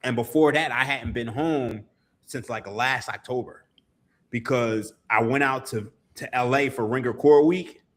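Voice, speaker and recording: A man's voice: medium pitch, measured pace, a bit bright. A man, a podcast guest telling stories in casual chat, laughing and swearing freely. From Philadelphia, USA. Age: 30s. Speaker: podcast guest, sports writer.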